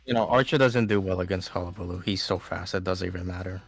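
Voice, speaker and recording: A laid-back man joining a gaming chat, his voice low and gravelly, with faint music behind him. He keeps his remarks short and joking. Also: fast.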